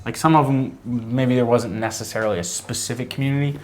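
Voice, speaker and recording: A man co-hosting a casual chat, relaxed and rambling, with fillers and restarts. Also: medium pitch, fairly quick, slight background noise. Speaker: co-host.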